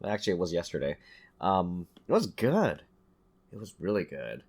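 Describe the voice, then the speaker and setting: A man telling stories in casual, animated podcast banter as a host, with some swearing. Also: deep voice, normal speed. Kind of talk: casual chat, storytelling.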